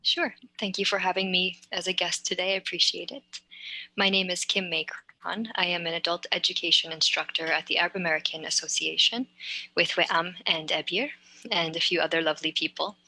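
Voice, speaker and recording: A woman from New York moderates a group discussion over a video call in a relaxed, friendly way. Her voice is bright and a little low-pitched, with an occasional "um" between phrases. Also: a little fast, no room sound, poor audio. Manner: relaxed, friendly, light fillers. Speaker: adult education instructor and moderator.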